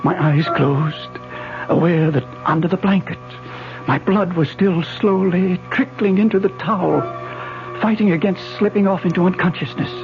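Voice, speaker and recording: An actor narrating as a wounded man drifting toward unconsciousness, speaking slowly and hushed in 1940s radio style. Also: high voice. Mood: fading. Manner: slow, hushed, distant. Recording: dull old radio broadcast with loud music.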